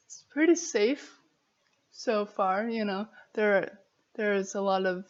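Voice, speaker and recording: American lady vlogging, really slow n halting w a stutter, kinda giggly, muffled lo-fi sound. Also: medium pitch. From Arizona, United States. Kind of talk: casual chat to camera.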